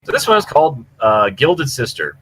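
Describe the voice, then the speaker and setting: A man's voice: mid-range voice, sing-song, moderate pace, fairly bright tone, low-quality recording. A man giving a casual, enthusiastic, rambling review of stories as a podcast co-host, speaking over an online voice chat. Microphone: computer mic on online voice chat. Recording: clear background noise, push-to-talk online voice chat.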